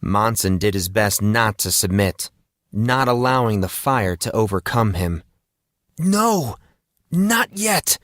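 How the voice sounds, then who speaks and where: Slow, low-voiced man narrating fantasy. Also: fairly bright tone, no echo, studio quality. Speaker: audiobook narrator. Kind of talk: dramatic scripted fantasy narration.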